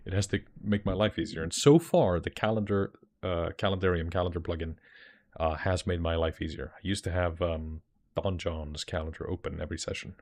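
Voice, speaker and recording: A man's voice: deep voice, fairly even intonation, normal speed, darkish tone. A young man with an Icelandic accent, chatting casually with viewers on a livestream and answering their comments with rambling restarts. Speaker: streamer and dungeon master.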